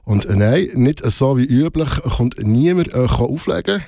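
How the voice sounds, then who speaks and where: A man's voice: medium pitch, animated, moderate pace, gravelly, dark tone, no echo. A man hosting a casual radio interview in a studio, hesitant, with many fillers, dropping English phrases in. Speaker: radio show host.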